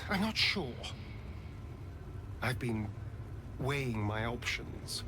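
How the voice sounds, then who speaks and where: Game role: sardonic, wounded English vampire man, slow and theatrical, noisy background. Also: medium pitch, big pitch swings. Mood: weary, conflicted. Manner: theatrical, drawling, sighing. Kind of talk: dramatic video game acting.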